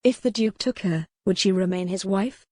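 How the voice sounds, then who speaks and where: A woman's voice: medium pitch, moderate pace, a little mellow, no echo, clean studio sound. A woman reading scripted, dramatic historical romance narration as an audiobook narrator.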